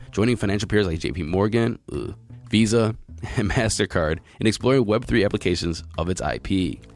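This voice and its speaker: American guy doing a news podcast, lowish slightly raspy sick voice, chatty wry asides, music behind him. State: voice lowered by illness. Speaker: podcast host. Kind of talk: news reading with casual commentary. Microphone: close podcast mic, compressed and equalized.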